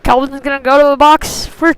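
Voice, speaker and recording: A woman's voice: sits high, a little fast, a little hiss or hum. A woman doing live play-by-play commentary of youth ice hockey in short clipped phrases. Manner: short clipped phrases, excited on big plays.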